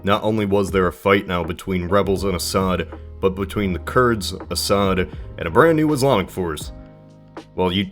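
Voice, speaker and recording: A man's voice: deep voice, fairly bright tone. A man narrating a scripted explainer video, with dry emphatic phrasing and conversational asides.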